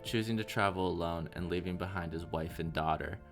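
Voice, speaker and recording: A man's voice: low-pitched, a little fast, over music. A man reading scripted documentary narration as the interviewer.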